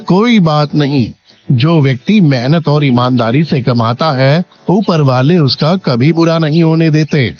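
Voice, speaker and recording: A man's voice: on the high side, measured pace, a little mellow, lightly acted. A man playing a wealthy, kindly and clever village merchant in a cartoon moral story, speaking politely and earnestly. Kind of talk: Hindi animated moral story acting. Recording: dull lo-fi sound, faint music bed.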